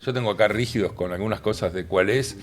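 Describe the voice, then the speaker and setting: A man's voice: on the low side, quick pace, over music. A man talking casually in an interview.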